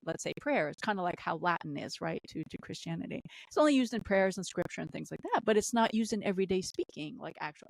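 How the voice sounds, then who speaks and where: A woman who also speaks Arabic, giving impassioned political commentary on a podcast, repeating phrases and rising in pitch when indignant. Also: no room sound. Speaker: podcast guest.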